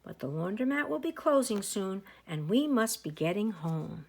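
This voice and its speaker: A woman voicing a gentle, practical mother in a storybook, soothing but firm. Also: mid-range voice, big pitch swings, moderate pace. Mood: reassuring.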